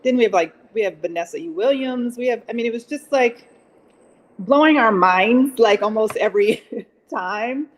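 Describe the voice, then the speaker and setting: A woman's voice: on the high side, normal speed, low-quality recording. A woman speaking as an interview guest, earnest and effusive in a casual, conversational way. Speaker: podcast drama producer and actress, guest.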